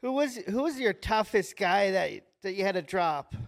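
A man's voice: sits high, lively intonation, moderate pace. A man co-hosting a podcast, chatting casually and putting questions to a guest. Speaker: podcast co-host, interviewer. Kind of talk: casual interview chat.

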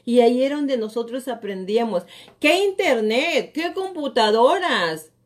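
Middle-aged lady coaching live, kinda high voice, pushy and repeats herself a lot. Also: animated, measured pace. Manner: emphatic, repeats phrases, asks "¿ok?" often. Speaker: real estate coach and live show host. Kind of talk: motivational talk on a live stream.

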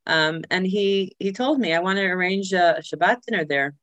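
A woman who grew up in Israel, telling her life story as an interview guest, pausing often with filler sounds and restarts. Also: lowish voice, a bit bright, no echo. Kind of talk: personal life story in conversation.

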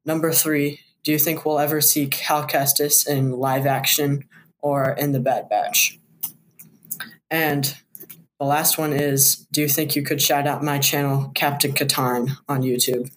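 A man speaks in a flat, even tone on a recorded voice message with faint background noise. He lays out prepared questions one by one as a listener calling in. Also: a little high, measured pace.

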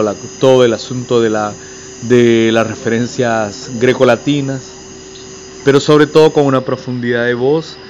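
A man frames an elaborate, winding question, pausing on fillers as he builds his point. His voice sits at a middle pitch. A faint hum runs under the recording. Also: highs cut off. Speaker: interviewer, host. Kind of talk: interview question.

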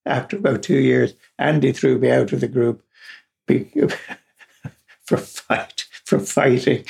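An Irish man tells rambling personal stories, chuckling often and restarting his sentences. His voice is heavily gravelly and rough, with a very husky, breathy grain, sitting at an ordinary male pitch. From Ireland, raised partly in England. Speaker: podcast guest. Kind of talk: casual personal storytelling.

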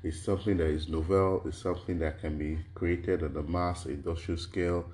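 A man from Nigeria giving an explanatory lecture, his voice a little hoarse from a cold, asking rhetorical questions and stringing examples into lists. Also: low voice, fairly even intonation, moderate pace, a little mellow, a little hiss or hum. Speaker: lecturer on intellectual property.

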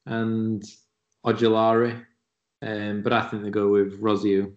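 A man's voice: on the low side, level tone, a little slow. A man with a Yorkshire accent co-hosting a casual podcast, bantering and joking. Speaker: podcast co-host. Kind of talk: casual chat. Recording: dull lo-fi sound.

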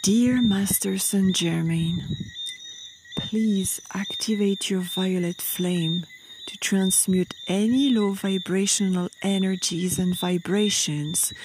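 A woman leading a guided meditation in a soft, breathy, soothing voice, with short phrases and long pauses. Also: on the low side, noisy background. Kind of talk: slow guided meditation narration.

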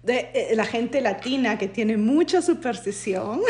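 A woman's voice: fairly high pitch, lively intonation, normal speed, darkish tone, echoey room. A woman from Peru co-hosting a podcast, chatting casually with many fillers and slipping Spanish into her English. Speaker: podcast co-host. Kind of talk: casual bilingual chat.

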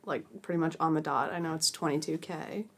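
Woman, 20s, podcast guest, casual and laid-back, lowish pitch. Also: steady tone, a little fast, fairly bright tone. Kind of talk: casual chat.

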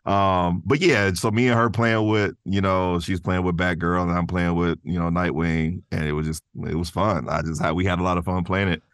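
A man's voice: sits low, fairly even intonation, moderate pace, hardly any pauses, fairly bright tone, no echo, clean studio sound. A man chatting casually as a podcast guest, easygoing and thinking aloud as he goes. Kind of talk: casual chat.

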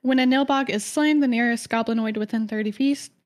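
A woman on a casual podcast, her voice sitting on the higher side. She chats freely, laughs easily and tells stories with dramatic flair. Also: steady tone, a little fast. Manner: chatty, giggly, dramatic storytelling. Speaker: podcast co-host presenting lore research.

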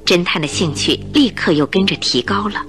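A woman narrating a radio drama in Chinese, in an expressive, scripted storytelling style. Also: lowish voice, a little fast, soft background music.

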